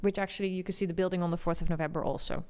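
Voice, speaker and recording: A woman's voice: on the low side, steady tone, rapid-fire, darkish tone, clean tone. A woman hosting and moderating a panel, inviting guests and reading out questions in a friendly, guiding way. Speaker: host and moderator. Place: bright studio set. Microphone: desk microphone.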